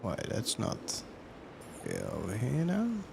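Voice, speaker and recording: A man's voice: medium pitch, wildly swooping pitch, slow pace, creaky, background noise. A man giving casual live gameplay commentary as a streamer, thinking aloud and reading on-screen text and chat. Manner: thinks aloud, questioning himself while playing. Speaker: game streamer.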